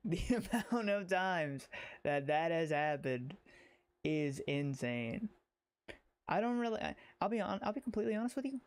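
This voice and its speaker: A man giving casual livestream game commentary, halting and rambling as he repeats his phrases. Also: fairly high pitch. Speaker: game streamer.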